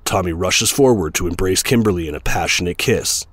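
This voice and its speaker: A man's voice: low voice, a little fast, a bit bright, breathy edge, no echo. A man reading scripted narration for an audio drama.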